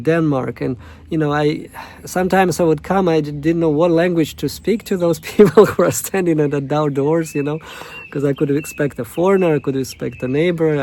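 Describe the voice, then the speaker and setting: Soft-voiced man with a tongue. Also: fairly high pitch, a little hiss or hum. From Russia, Novosibirsk. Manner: halting, many fillers and restarts. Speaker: coach. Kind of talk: casual self-introduction monologue.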